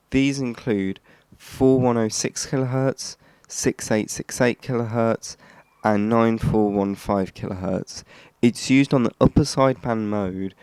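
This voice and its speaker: Man, low pitch, creaky yet smooth tone, scripted documentary-style narration, occasional stumbles. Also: a little mellow, dry recording. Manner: stumbles over and re-reads scripted phrases. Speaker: host of a shortwave radio history channel.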